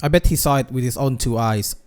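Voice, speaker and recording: A man doing casual let's-play commentary as a gaming streamer, in short, relaxed, offhand remarks. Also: medium pitch, brisk, background noise.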